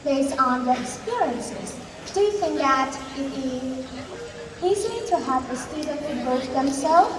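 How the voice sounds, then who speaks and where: A woman's voice: high-pitched, lively intonation, a little slow, a crowd in the background. A woman with a Vietnamese accent hosting a talk show in a school role-play, speaking in a careful, rehearsed presenter style. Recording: echoey hall, distant mic, background noise.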